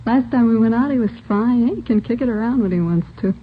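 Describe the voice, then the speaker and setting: A woman's voice: a little high, fast, slightly rough. An American woman playing a flirty, slangy nightclub dancer in her late twenties in a 1950s radio drama, flippant and casual. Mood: casual, fond. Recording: thin, phone-like old radio recording.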